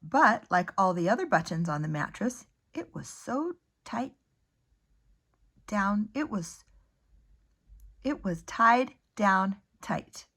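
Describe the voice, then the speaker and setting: Older woman, grandmotherly, husky, very slow pace, expressive picture-book read-aloud, pauses for the young listener. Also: medium pitch. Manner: expressive, pausing, talking to the child listener. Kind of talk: unhurried children's storybook read-aloud.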